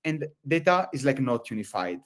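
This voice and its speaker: A man's voice: medium pitch, very animated, moderate pace. A man from Italy with an Italian accent, presenting a live webinar over a video call, talking in loose, rambling sentences full of fillers and restarts.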